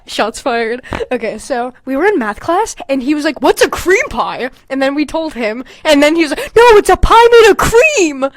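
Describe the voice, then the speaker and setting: A young woman hosting a podcast, casually reading aloud and mocking her own writing in an animated, sweary, giggly way. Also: sits high, moderate pace, few pauses, dry recording. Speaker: podcast host. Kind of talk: casual comedic commentary while reading own old fanfiction aloud.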